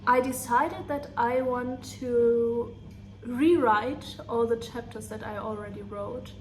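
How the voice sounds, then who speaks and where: German woman talking slow and steady, kinda flat, a bit high, faint hiss behind. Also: rough recording. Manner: soft, earnest and unhurried. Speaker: author reading her own novel. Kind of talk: reflective vlog talk.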